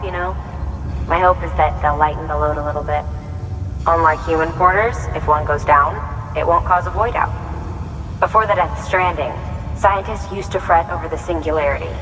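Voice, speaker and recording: An actress playing a reflective woman engineer in a video game cutscene, speaking in a measured, musing way. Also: sits low, background noise, close to an everyday voice. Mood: matter-of-fact. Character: reflective woman engineer who designs delivery robots. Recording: echoey long reverb. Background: loud music.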